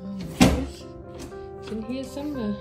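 Lady with highish voice baby talking her dogs real slow, repeats herself, phone video at home. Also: over loud music. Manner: doting baby talk to dogs, repeats phrases. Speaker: pet owner narrating home video. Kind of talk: casual pet-directed chatter. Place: indoors at home, hallway. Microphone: handheld phone camera, speaker behind it.

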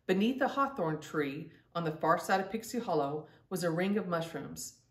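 A woman's voice: lowish voice, expressive, moderate pace. Middle-aged woman reading aloud, roomy sound. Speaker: storytime host reading aloud. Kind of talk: read-aloud children's storytelling. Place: indoor room at home. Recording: roomy, distant-mic home recording.

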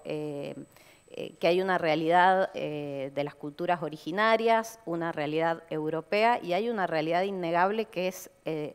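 A middle-aged woman with an Argentine accent, speaking on a conference panel in a measured way, often pausing with fillers. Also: on the low side, smooth. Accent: Argentine Spanish. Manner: measured, many hesitation fillers. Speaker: panelist, heritage expert. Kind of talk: panel discussion. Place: conference stage, indoors. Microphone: headset mic. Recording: large hall with long reverb.

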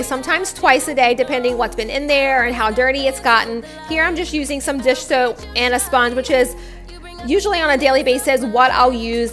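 A young woman with a high voice narrates her home cleaning routine as a voice-over. She sounds chatty, friendly and upbeat. Soft music plays faintly under her words. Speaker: cleaning vlogger, stay-at-home mom. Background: faint background music.

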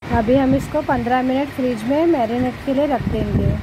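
A woman's voice: high voice, moderate pace. A woman with an Indian accent giving a step-by-step recipe voice-over as a home cooking host. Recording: clearly noisy home recording.

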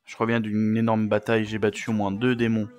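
A man's voice: fairly low pitch, monotone, a little fast, music in the background. A man improvising playful roleplay banter as a streamer, theatrical and joking, prone to sudden outbursts.

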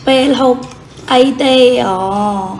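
A woman's voice: fairly high pitch, takes it slowly, darkish tone, a little hiss or hum. A woman chatting casually and teasingly during a home lunch. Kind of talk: casual chat while eating. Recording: echoey room with clinking cutlery. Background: cutlery and dishes clinking.

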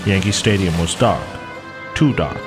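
An actor playing a hard-boiled, wisecracking private eye, narrating a mock radio noir in a deadpan, clipped tough-guy way. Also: low voice, measured pace. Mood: deadpan, world-weary. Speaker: detective narrator. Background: music.

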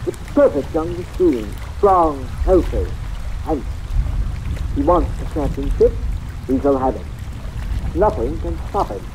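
Over faint watery noise in an old radio play, an obsessed boss with a mellow voice. He is formal and precise, with lively swings and a polite menace. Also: fairly high pitch, measured pace, pauses often, rough recording. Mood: fond, proud. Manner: formal, precise, emotionally intense. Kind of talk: 1940s-50s radio drama acting. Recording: old radio recording under rain ambience.

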